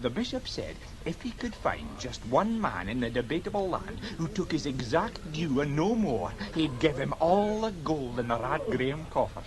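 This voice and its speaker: An actor playing a garrulous Scottish police sergeant who relishes old local tales, in radio drama style. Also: male voice, on the high side, sing-song, normal speed, hardly any pauses, crowd noise, poor audio. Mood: relishing, storytelling. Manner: garrulous storyteller.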